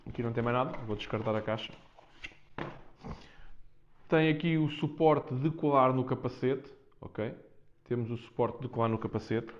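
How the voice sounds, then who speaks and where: Low-voiced Portuguese man, casual, slightly muffled. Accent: European Portuguese. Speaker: vlogger presenting a product unboxing. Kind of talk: casual unscripted unboxing talk to viewers. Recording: dull, muffled lo-fi sound.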